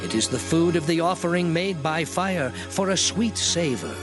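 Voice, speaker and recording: A man's voice: fairly high pitch, animated, normal speed, close to an everyday voice. Guy acting as solemn bible lawgiver voice, declaiming scripture, really varied intonation, music behind. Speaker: scripture reader. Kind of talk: dramatized Bible reading. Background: music under the voice.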